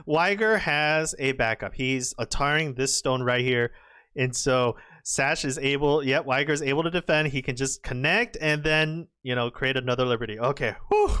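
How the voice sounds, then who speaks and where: A slightly hoarse man commentating on a go match, exclaiming and trailing off as the game turns. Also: on the high side. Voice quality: excitable, exclamatory, trails off and restarts. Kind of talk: excitable live game commentary.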